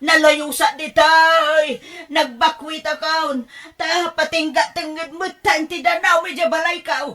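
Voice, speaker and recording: A woman with an Ilocano accent playing an excitable, fretful middle-aged mother in a radio drama, expressive and exclamatory. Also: sits high, measured pace, hardly any pauses. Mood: panicked. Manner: expressive, exclamatory, laughs easily.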